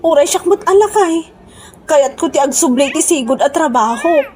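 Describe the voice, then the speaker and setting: High, animated Ilocano village wife played by a woman. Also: moderate pace. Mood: plaintive. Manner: exclamatory, dramatic. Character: complaining, excitable village wife in Ilocano comedy drama. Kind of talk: Ilocano radio comedy-drama acting.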